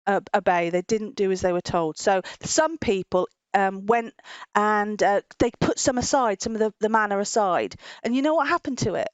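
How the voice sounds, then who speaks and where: A woman's voice: a little high, clean tone, no echo, muffled recording. A woman presenting a children's Bible story informally, explaining in simple phrases and often repeating herself. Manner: simple explanatory phrasing with frequent repetition and chuckles.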